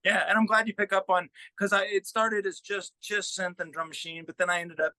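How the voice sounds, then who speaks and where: A man being interviewed as a musician, chatting casually in a halting way as he thinks aloud. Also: high voice, level tone, bright, forceful tone, no echo. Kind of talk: casual interview chat about own work.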